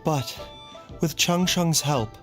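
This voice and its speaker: An actor playing a composed physician in a fantasy video game, speaking in a measured, formal, precise way. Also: male voice, fairly high pitch. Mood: reassuring. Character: composed, soft-mannered male physician in fantasy world. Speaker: doctor. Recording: background music under dialogue.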